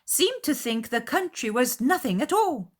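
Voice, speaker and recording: Woman playing high-pitched, effusive, fussy mother. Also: sing-song, unhurried, exaggerated voice. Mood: indignant triumph. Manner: gushing, indignant, emphatic. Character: excitable, effusive, boastful middle-aged mother in Regency England. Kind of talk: dramatized audiobook character voice.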